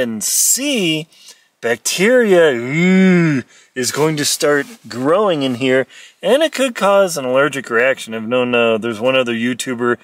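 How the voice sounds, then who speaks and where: A middle-aged man, an explorer and adventurer, giving a lively, playful how-to talk from inside a small tent, filmed on a phone at arm's length. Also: medium pitch, distant mic. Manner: lively, playful, mock-dramatic asides. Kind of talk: casual how-to vlog. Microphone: phone camera held at arm's length.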